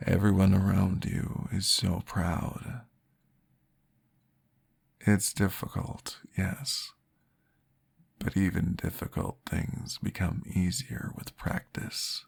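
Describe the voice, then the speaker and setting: A man voicing a calm, patient male vampire therapist, soft-spoken and reassuring, often dropping into a whisper in an ASMR roleplay. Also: sits low, takes it slowly, long pauses, full character voice. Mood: soothing whisper. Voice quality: gravelly, husky. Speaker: therapist guiding a listener.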